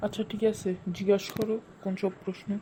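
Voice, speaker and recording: A woman's voice: medium pitch, little pitch movement, quick pace, warm tone, lightly acted. A woman voicing an easygoing, bumbling cartoon uncle with a Bangladeshi Bengali accent, who hesitates and draws out his thinking sounds. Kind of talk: cartoon dubbing. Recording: noisy home recording.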